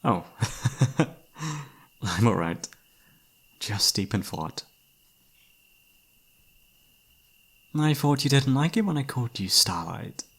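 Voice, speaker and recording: A man's voice: medium pitch, expressive, measured pace, pauses often, husky, full character voice. A man playing a devoted young knight in a romantic fantasy ASMR roleplay, speaking in a courtly yet playfully teasing manner. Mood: gentle, caring. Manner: formal, courtly, playfully teasing, soft-spoken sighs.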